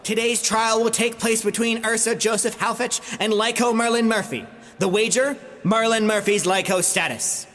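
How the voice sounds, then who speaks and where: Echoing, high-pitched actress as female trial announcer. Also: fairly even intonation, moderate pace, hardly any pauses, a bit bright, close to an everyday voice. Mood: commanding. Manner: formal, projected. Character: formal, commanding female combat-trial announcer. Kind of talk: ceremonial announcement in audio drama. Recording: long hall reverb, faint noise.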